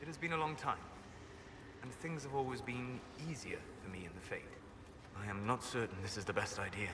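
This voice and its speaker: An actor voicing a reserved elven mage in a game, speaking in measured, formal phrases. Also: male voice, medium pitch, steady tone, lightly acted. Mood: hesitant. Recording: game audio with clear background noise.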